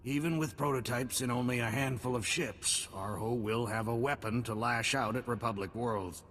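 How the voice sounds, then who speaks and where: Game cast: man as a blunt military commander, bright, forceful tone, brisk orders. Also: medium pitch, slight background noise, lightly acted. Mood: commanding. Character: male military supreme commander, decisive and blunt. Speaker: supreme commander. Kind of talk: game voice acting.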